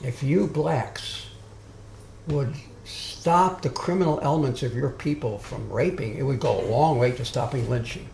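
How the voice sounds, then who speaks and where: A man giving an informal history lecture to a small live audience, speaking loudly and wandering into asides and restarts. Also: medium pitch, measured pace, creaky, roomy sound, a little hiss or hum. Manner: loud, digressive, with many restarts and asides. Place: meeting room with a live audience.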